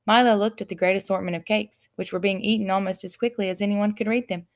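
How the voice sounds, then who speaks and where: A woman reading a children's story aloud as an expressive narrator. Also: medium pitch, fast. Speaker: audiobook reader.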